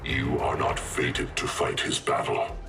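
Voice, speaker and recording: A man's voice: measured pace, roomy sound, recorded from a distance, natural voice. A man voicing a grave otherworldly sorcerer in a video game, solemn and portentous, like a forbidding prophet. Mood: ominous warning. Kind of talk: video game cutscene acting. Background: loud dramatic music.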